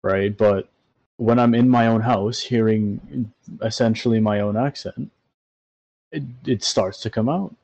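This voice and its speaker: A man's voice: on the low side, measured pace, a little mellow, no echo. A tired man with a Trinidadian accent, talking animatedly and swearing in a casual podcast chat. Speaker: podcast co-host.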